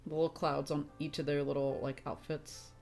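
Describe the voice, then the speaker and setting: Casting: female game streamer, low register, frank and chatty casual reactions, game music underneath. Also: level tone, brisk, gravelly, darkish tone. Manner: frank, chatty, mildly sweary.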